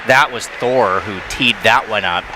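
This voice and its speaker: Brief: male play-by-play hockey announcer, quick, noisy arena broadcast. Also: fairly low pitch. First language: not Thai. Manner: rapid, excitable calls on big plays. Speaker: play-by-play commentator.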